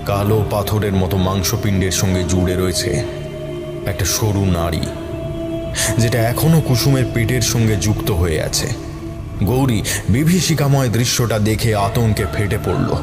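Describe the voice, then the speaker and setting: A man's voice: lowish voice, moderate pace. A man narrating a scripted horror story in a dramatic style. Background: music behind the voice.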